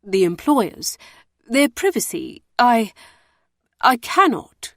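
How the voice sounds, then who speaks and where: A woman's voice: fairly high pitch, very animated, slow, a bit bright, dry recording, clean studio sound, voice pushed up, heavily acted. A woman voicing a nervous, blustering middle-aged male orphanage warder who stammers defensively. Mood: flustered.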